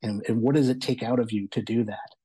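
A man's voice: medium pitch, steady tone, brisk, warm tone, slightly rough. A man giving an enthusiastic, rambling conversational review as a guest on a talk show. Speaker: guest reviewer of audio entertainment.

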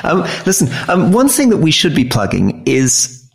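Gravelly-voiced man co-host. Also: on the high side, huge pitch swings, soft background music. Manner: measured, articulate, enthusiastic reactions. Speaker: podcast co-host. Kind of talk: casual political chat.

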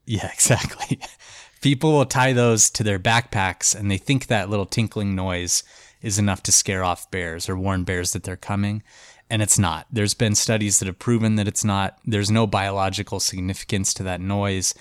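A congested man with a fairly low voice tells a story casually over a podcast mic. He talks in a loose, chatty way with plenty of "like" and "um". The recording is dry and close, with no room sound. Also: fairly even intonation, moderate pace, dry recording. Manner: loose and chatty, with many "like" and "um" fillers.

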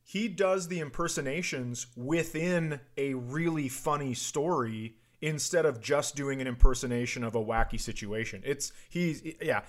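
Male comedy podcast host, mid-pitched voice, conversational, meandering storyteller who keeps restarting his own sentences. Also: normal speed, hardly any pauses. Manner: rambling, self-interrupting anecdote telling. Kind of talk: casual comic banter.

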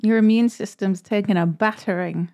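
A woman's voice: mid-range voice, fairly even intonation, normal speed, darkish tone. A woman co-hosting a podcast, teasing her friends in cheeky casual chat. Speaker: podcast co-host.

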